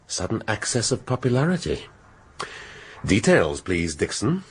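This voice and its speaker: Dry, low-voiced police inspector. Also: male voice, wildly swooping pitch, unhurried, husky, full character voice. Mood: dry, brisk. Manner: dry, probing, sardonic. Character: shrewd male police inspector, dry and probing. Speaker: detective questioning witnesses. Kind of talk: naturalistic radio drama acting.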